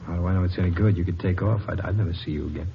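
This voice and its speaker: A man's voice: deep voice, rapid-fire, gravelly. An actor playing a sleazy, pushy man peddling dirty books in an old radio drama, wheedling and salesy. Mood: pleading. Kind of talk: 1950s radio drama acting. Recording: dull old radio recording with hiss.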